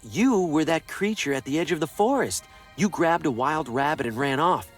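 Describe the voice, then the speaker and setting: An ordinary, slightly awkward adventure game hero. He sounds wary and wry, hesitating often, with animated pitch movement. Music runs softly underneath. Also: moderate pace. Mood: skeptical. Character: wary, awkward everyman salesman hero, dryly skeptical. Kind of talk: video game voice acting.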